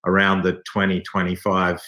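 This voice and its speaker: Aussie guy being interviewed, lowish voice, explaining stuff with little asides, roomy echo. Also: monotone, moderate pace, sharp tone. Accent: Australian. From Australia. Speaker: theatre company member interviewed as guest. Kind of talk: conversational interview. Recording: echoey room sound.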